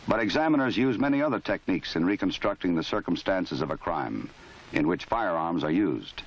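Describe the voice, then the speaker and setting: A man with a fairly low voice delivers measured, matter-of-fact narration in a formal register. The sound is dull and lo-fi, like an old 1970s film soundtrack. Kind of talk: scripted documentary narration. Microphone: voice-over.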